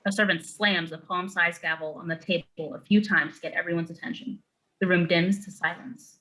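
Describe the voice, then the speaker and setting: A woman's voice: lowish voice, steady tone, fairly quick, pushed and bright, low-quality recording. A woman narrating a screenplay, reading out scene headings and stage directions as scripted narration.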